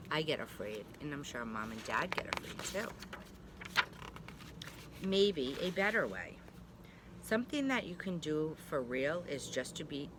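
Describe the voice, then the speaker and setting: A woman preschool teacher reading a storybook aloud to young children, gently and reassuringly, with chatty asides. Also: low voice, background noise. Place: at home.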